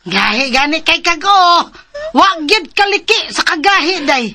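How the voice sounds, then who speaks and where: A stern, nagging Cebuano mother in a radio drama. The voice is high and piercingly bright, with faint noise behind it. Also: animated, a little slow, heavily acted. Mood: scolding, angry. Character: stern scolding mother of a young girl.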